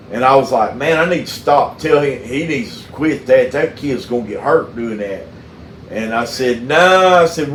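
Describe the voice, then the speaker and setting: A man's voice: mid-range voice, a little hiss or hum. Southern man chatting in an echoey room. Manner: folksy, halting delivery. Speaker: mechanic and channel host. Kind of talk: casual phone chat with storytelling.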